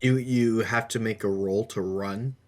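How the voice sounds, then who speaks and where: A man's voice: on the low side, steady tone, a little slow, darkish tone. A man co-hosting a podcast in casual chat. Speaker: podcast co-host.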